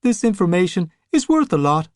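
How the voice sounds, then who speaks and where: A man's voice: high-pitched, very animated, moderate pace, no echo, pitched above the performer's own voice. A smug, scheming zombie with a reedy, raised voice, vain and a little peevish, bargaining from a dry, close recording, as a male narrator puts him on. He preens, wheedles and snaps.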